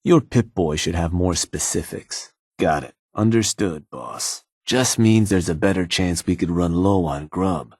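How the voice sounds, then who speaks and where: An actor playing a shrewd, calm male raider lieutenant in a game, speaking in a dry, calculating drawl. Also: low-pitched, a little slow, few pauses, breathy edge, dry recording. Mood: scheming. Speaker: game character. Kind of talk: game voice lines.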